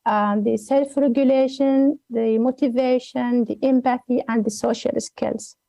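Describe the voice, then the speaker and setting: Emirati woman explaining slowly, mellow and dry. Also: a little high, steady tone, gravelly, no echo. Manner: careful, methodical, many fillers. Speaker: guest, executive coach. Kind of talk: explaining a concept in an interview.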